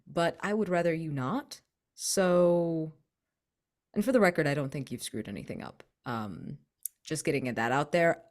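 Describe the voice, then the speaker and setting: A tabletop game player improvising casual banter in character, awkward, hesitant and wry. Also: medium pitch.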